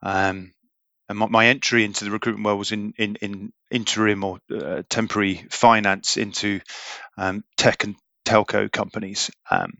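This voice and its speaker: A man in his forties talks as a podcast guest, stumbling over repeated words and filling pauses with ums. His voice is bright and forceful yet low, recorded close and dry. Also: steady tone, vocal fry, no room sound. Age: 40s. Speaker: guest, recruitment business leader. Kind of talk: podcast interview.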